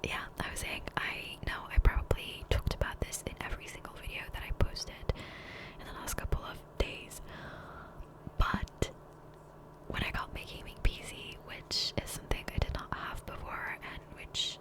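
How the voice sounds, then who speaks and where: A woman whispering softly and breathily in a chatty, rambling ASMR style over gameplay, close to a condenser microphone. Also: normal speed, poor audio. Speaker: gaming ASMR creator. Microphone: close USB condenser microphone.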